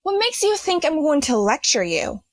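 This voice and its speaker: A woman's voice: high voice, sing-song, moderate pace, dry recording. A woman playing a haughty, dry-witted rich young student who scolds sardonically in an audio drama. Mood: dry, smug.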